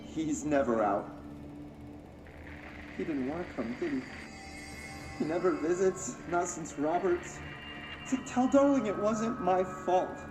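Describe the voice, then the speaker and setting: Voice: medium pitch, lively intonation, takes it slowly, long pauses. An actor playing a sullen, unstable captive man in a game, needling the person who evaluates him. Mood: wounded, pleading. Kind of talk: game cutscene drama. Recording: echoey room, music behind.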